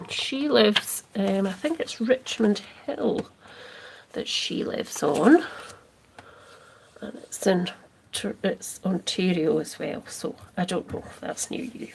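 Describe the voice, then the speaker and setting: A Scottish woman talks slowly and gently through a crafting livestream, often dropping to a near-whisper while she works. Her voice has a gravelly, creaky edge. Faint noise and small clicks sit behind her. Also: mid-range voice, expressive, rough recording. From Scotland. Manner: chatty, reads and answers viewer comments while working; slow, soft, drifting into near-whispers as she concentrates. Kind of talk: casual crafting livestream chat.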